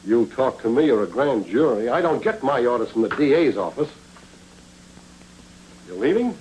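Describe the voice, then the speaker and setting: A man playing a stern police lieutenant in a forties noir film. His delivery is clipped and sardonic with big swings in pitch, on an old soundtrack with faint noise. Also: sing-song, normal speed, a little mellow, natural voice, low-quality recording. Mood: stern, needling. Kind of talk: 1940s film noir acting.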